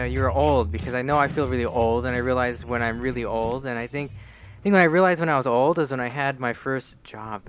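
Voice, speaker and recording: A man's voice: medium pitch, fairly bright tone. A man in his twenties hosting a casual group podcast, joking and swearing freely with friends. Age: 20s. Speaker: podcast host.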